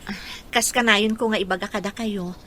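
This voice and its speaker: A woman's voice: mid-range voice, steady tone, brisk, fairly bright tone, slight background noise, close to an everyday voice. A woman playing a humble, struggling single mother in a radio drama, polite and earnest. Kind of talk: Philippine radio drama acting.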